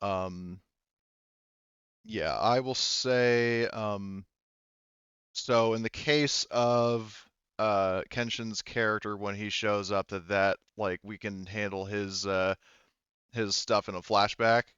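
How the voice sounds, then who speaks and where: Man, game master, casual halting narration, smooth tone, close dry sound. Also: lowish voice, fairly even intonation, fairly bright tone, no echo, dull audio.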